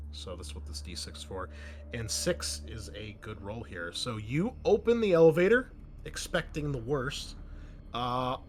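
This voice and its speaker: A man's voice: mid-range voice, a little hiss or hum. A man running a tabletop roleplaying game as game master, casually narrating scenes and explaining rules. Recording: faint music behind the voice. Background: music.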